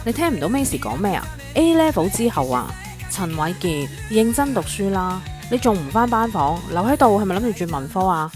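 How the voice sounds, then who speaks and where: A woman plays a strict schoolteacher with a Hong Kong accent in a radio drama. She is scolding and sarcastic, her mid-pitched voice rising and falling widely, with music clearly heard behind it. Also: sing-song, a little fast, close to an everyday voice. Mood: stern, sarcastic. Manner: stern, sarcastic. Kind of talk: youth radio drama acting.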